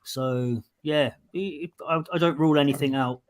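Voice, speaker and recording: Charity guest, man from the north east, hesitant, restarts a lot, slightly high voice. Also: normal speed. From North East England. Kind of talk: casual chat.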